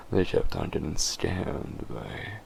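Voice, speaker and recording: A man's voice: sits low, gravelly, a little mellow, a little hiss or hum, heavily acted. A man voicing a possessive, condescending vampire in a dramatic audio roleplay, with a smug, teasing manner. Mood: amused, nostalgic. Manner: slow, teasing, smug, often chuckling. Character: possessive, condescending male vampire, obsessed and menacing.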